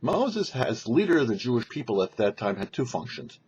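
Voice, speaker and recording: A man delivering a scripted religious teaching talk as a rabbi and show host, with emphatic, deliberate phrasing and dramatic pauses. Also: medium pitch, sing-song, a little mellow, slightly rough, dull audio. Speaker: rabbi and host of a weekly Torah portion show.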